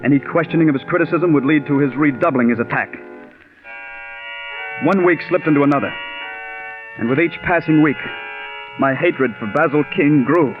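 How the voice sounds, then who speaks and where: An actor playing a bitter, stubborn, overworked scriptwriter in 1940s radio drama style, intense and brooding. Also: male voice, fairly high pitch, moderate pace, pauses often, over music, natural voice. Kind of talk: 1940s radio acting with first-person narration. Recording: dull 1940s radio recording.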